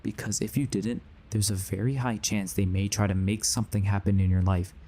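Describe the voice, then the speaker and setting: A low, grave conspiracy believer. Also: male voice, fairly even intonation, a little fast, warm tone. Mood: stern warning. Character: grave man warning of a sinister conspiracy. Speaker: voice actor. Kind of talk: audio drama acting.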